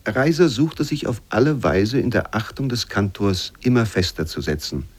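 A male narrator delivers scripted literary prose at a mid-range pitch. His reading is formal and measured, with expressive emphasis suited to a radio feature.

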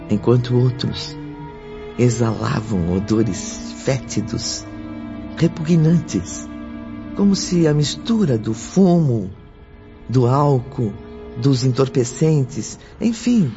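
An actor playing a solemn radio drama narrator, grave and deliberate, with music behind the voice. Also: male voice, mid-range voice. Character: solemn narrator witnessing tormented spirits. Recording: dull lo-fi audio under music.

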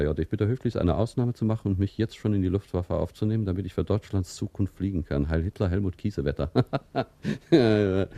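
Animated, breezy, cynical male clerk. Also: fairly low pitch, dry recording. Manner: brisk, mocking, chatty. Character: breezy, cynical, contented Berlin military mail clerk. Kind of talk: 1960s German radio play acting.

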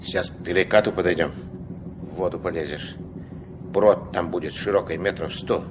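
An actor in a Soviet radio drama playing a gruff, commanding old riverboat captain who gives curt orders in folksy speech. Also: male voice, sits low, fairly even intonation, moderate pace, close to an everyday voice. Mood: grumbling, instructive. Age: older. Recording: noisy 1970s radio play recording.

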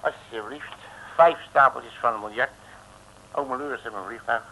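An actor playing a brash, cheeky detective with colloquial, slangy bluster in a 1960s radio comedy. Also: male voice, mid-range voice, unhurried, bright, forceful tone. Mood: brash, impatient. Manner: colloquial slangy bluster, calls himself uncle. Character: brash, cheeky, big-spending male detective, streetwise and jovial. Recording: noisy old 1960s radio recording.

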